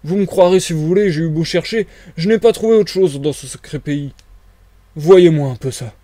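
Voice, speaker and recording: A man acts out a storybook tramp, gruff and easily alarmed. The voice jumps well above ordinary talk, loud and exclamatory, blustering and grumbling in bewilderment, in a dry recording. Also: fairly high pitch, moderate pace, no room sound, voice pushed up, close to an everyday voice. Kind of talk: dramatized audiobook reading.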